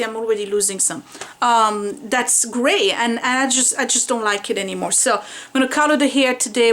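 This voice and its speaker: A woman's voice: high-pitched, fairly bright tone. A woman in her forties chatting candidly in a home vlog, rambling a little with fillers, filmed by a window at home. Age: 40s. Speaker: vlogger. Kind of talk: casual home hair-care vlog.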